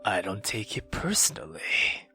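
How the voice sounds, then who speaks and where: A woman voicing a sinister male immortal who feeds on love, bitter and mocking, with slow menace that drops into whispers, in a visual novel. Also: very deep voice, big pitch swings, bright, forceful tone, husky, faint music behind, voice pushed down, full character voice. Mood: mocking calm. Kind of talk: dramatic visual novel read-aloud.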